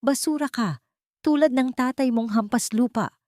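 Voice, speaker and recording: A woman's voice: sits high, moderate pace, darkish tone, dry recording, clean studio sound, voice pushed up, lightly acted. Woman playing an arrogant, dismissive rich husband. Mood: disgusted. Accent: Filipino. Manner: dismissive, contemptuous. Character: arrogant, disdainful rich husband, adult man.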